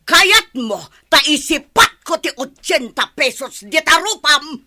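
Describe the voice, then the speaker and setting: A woman's voice: sits high, expressive, slow pace, heavily acted. A woman playing a short-tempered, haughty lady of the house with an Ilocano accent in a comedy radio drama. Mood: furious. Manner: sharp, scolding, imperious.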